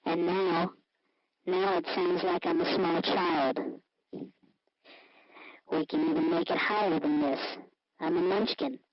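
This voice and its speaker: Man, electronically pitched-up voice, voice changer effect, casual demonstration, dry, muffled cheap-speaker audio. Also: steady tone, takes it slowly, long pauses, no echo. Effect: voice electronically pitched up by a voice changer, through a cheap speaker. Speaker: tutorial host. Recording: dull sound from cheap speaker.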